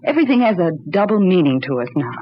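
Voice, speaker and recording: An actress playing a trusting, earnest young wife who soothes and insists, in an old-time radio drama. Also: female voice, lowish voice, sing-song, quick pace. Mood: cheerful, reassuring. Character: trusting, reassuring young wife visiting her eccentric uncle. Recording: dull, noisy old radio recording.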